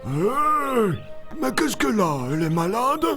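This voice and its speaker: A man's voice: very high-pitched, sing-song, unhurried, over music, full character voice. A man playing a jovial, blunt animal mail carrier in a children's cartoon, with hearty exclamations. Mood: cheery.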